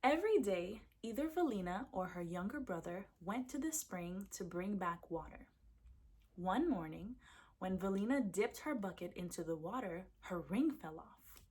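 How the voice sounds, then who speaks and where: A woman reading a folktale aloud as a storyteller, with expressive narration for children. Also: mid-range voice, normal speed, husky.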